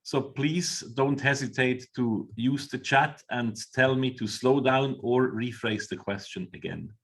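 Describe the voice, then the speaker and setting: A man's voice: mid-range voice, vocal fry, a bit bright. Swiss-accented man hosting a webinar. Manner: formal, measured, with fillers. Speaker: webinar host. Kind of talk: moderating a panel webinar. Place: Doha.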